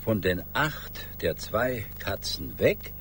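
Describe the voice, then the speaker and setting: An actor playing an earnest, slow-witted old gentleman, halting and puzzled, in a radio play. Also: on the low side, sing-song, slight background noise, exaggerated voice. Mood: puzzled, pondering. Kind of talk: 1980s German radio play acting.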